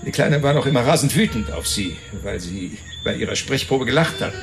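An actor playing a slick, crude, cynical fixer, talking tough and coarse in a noir radio drama. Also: male voice, mid-range voice, expressive, a little fast, vocal fry, music in the background. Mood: matter-of-fact recounting.

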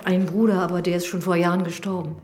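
A woman's voice: fairly low pitch, flat delivery, fast, darkish tone, close to an everyday voice. Radio drama housekeeper female actor: guarded widow in service, respectful yet evasive, music underneath. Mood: subdued, worried. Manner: deferential, evasive, hesitant. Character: guarded, deferential widowed housekeeper of a village parson. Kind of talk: German radio drama acting.

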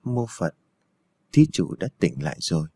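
Storybook monk, played by a male narrator: gentle, pitying, low husky voice. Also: sing-song, normal speed, dry recording, full character voice. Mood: calm, pitying.

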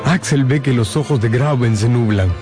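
A man narrating a scripted radio drama in an expressive, deliberate storytelling style. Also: mid-range voice. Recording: music under the narration.

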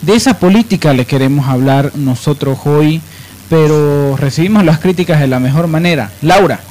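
A man's voice: fairly high pitch, moderate pace, darkish tone, rough recording. A man hosting a live radio programme in a conversational style. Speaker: radio host.

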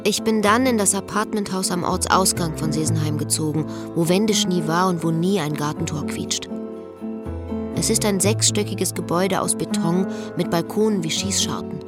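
An actress playing a wistful, guarded middle-aged woman who confides in measured, lyrical lines of a radio drama. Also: lowish voice, lightly acted. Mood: wistful, reflective. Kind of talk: literary radio drama, first-person monologue and dialogue. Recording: music behind the voice. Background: music.

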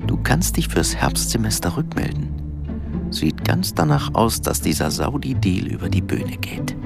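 An actor playing a weary father's intimate inner voice in a radio drama, low and creaky. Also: sing-song, unhurried, exaggerated voice. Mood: inward, musing. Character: weary father's inner voice, writing to his daughter. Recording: loud music behind.

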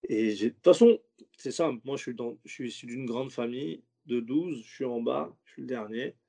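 A man's voice: medium pitch, brisk, creaky, mellow, slightly rough. A man who is a stand-up comedian, talking loosely in a casual interview, slangy and rambling with many restarts. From French suburbs.

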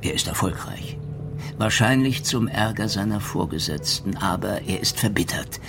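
An actor playing a calm, courteous former police lieutenant in a German radio drama, measured and gently ironic. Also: male voice, sits low, gravelly, over music. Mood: courteous, knowing.

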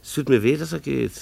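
An actor playing a mild-mannered, beleaguered schoolteacher husband who narrates a German radio play in a wry, earnest, hesitant way. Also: male voice, mid-range voice, gravelly, mellow, slightly rough, heavily acted. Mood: wistful. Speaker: narrator and lead character.

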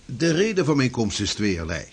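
A husky, lively old-time preacher. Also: male voice, medium pitch, normal speed. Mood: earnest. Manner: lively, formal old-fashioned speech. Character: shrewd 16th-century Dutch preacher, fierce against Spain. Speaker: preacher and cartographer. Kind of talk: radio drama acting.

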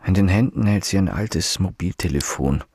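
An actor playing a weary, dismissive middle-aged police inspector in a radio drama, deadpan and brusque. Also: male voice, sits low, normal speed, husky, no room sound, clean studio sound, full character voice. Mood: weary, brooding. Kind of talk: radio drama acting with inner monologue.

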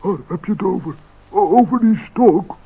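A man playing a giggly, stammering, bumbling but sly mole in an old radio play, with a husky voice. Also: sits high, normal speed, heavily acted. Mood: chuckling, sly. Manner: stammering, chuckling. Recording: dull old radio recording.

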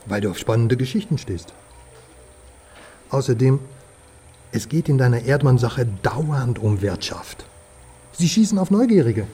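An actor plays a worldly male informant in a radio drama. His mid-pitched voice is soft-edged and dark, and he talks in wry, knowing, clipped phrases. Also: animated, measured pace, pauses often, soft background music, lightly acted. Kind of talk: radio crime drama dialogue.

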